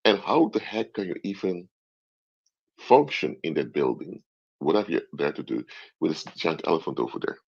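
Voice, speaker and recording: Very deep gravelly man. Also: lively intonation, moderate pace. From Curaçao, raised in the Netherlands. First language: Papiamento and Dutch. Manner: explanatory, repeats and restarts phrases. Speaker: live stream host, anthropologist. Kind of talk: casual live stream monologue. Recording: dull lo-fi stream audio.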